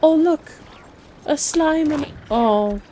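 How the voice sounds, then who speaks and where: A woman's voice: high voice, animated, slow, mellow, soft background music. A woman giving casual, gushing reaction commentary as a game streamer. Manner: gushing, exclamatory.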